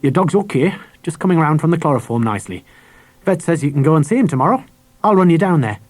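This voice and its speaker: A male reader puts on a thin, grey-haired country schoolteacher from a children's storybook, kindly yet ill at ease. The voice is lifted and husky. He talks in short, abrupt bursts and tends to repeat himself. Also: on the high side, lively intonation, fast, voice pushed up.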